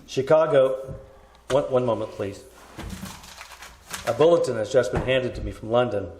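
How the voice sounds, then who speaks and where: A man playing a grave radio newscaster who reads emergency bulletins in a formal, measured way. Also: mid-range voice, a little mellow, a little hiss or hum, lightly acted. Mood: grave, urgent. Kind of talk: radio news bulletin acting. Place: live staged performance at a winery. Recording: roomy live stage performance.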